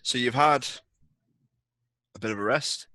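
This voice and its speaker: Bright-voiced man running a tabletop game. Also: mid-range voice, unhurried, dry recording. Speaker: game master. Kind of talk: casual game moderation.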